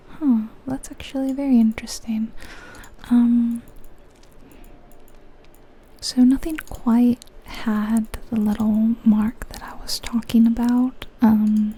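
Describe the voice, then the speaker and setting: A woman's voice: fairly high pitch, dark tone, breathy edge. A woman whispering in an ASMR style, musing to herself as she gives commentary on a video game. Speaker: ASMR creator.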